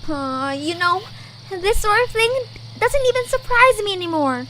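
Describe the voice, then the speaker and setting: Very high, bright young cartoon creature. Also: female voice, lively intonation, measured pace, a little hiss or hum, heavily acted, poor audio. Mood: matter-of-fact. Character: plucky, exasperated young cartoon creature leading friends. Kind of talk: audio drama character acting.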